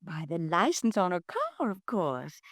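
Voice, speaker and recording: An actress playing a prim, gossipy widowed neighbor who chatters on with disapproval, in a 1950s radio drama. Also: female voice, fairly low pitch, big pitch swings, measured pace, no room sound, clean studio sound, full character voice. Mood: gossiping. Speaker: witness.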